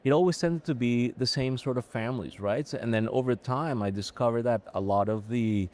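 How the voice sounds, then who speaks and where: A man in his forties from Colombia, a company chief executive answering interview questions in a measured, thoughtful way with frequent pauses and restarts. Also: medium pitch, darkish tone. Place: live event recording on location.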